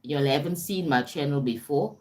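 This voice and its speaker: A woman's voice: low-pitched, moderate pace, darkish tone. A woman with a South African accent giving an informal motivational livestream talk, emphatic, repeating phrases and often checking in with the listener. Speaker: life coach and motivational speaker livestreaming.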